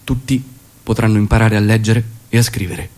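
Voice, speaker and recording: An actor playing a resolute revolutionary doctor and loving husband in theatrical radio drama dialogue. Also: male voice, fairly low pitch, flat delivery, brisk, a little mellow, lightly acted. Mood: determined.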